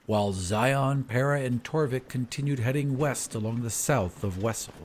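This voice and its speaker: A man's voice: medium pitch, normal speed. A man delivering scripted story narration as the recap narrator of a fantasy tale. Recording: faint ambient noise behind narration.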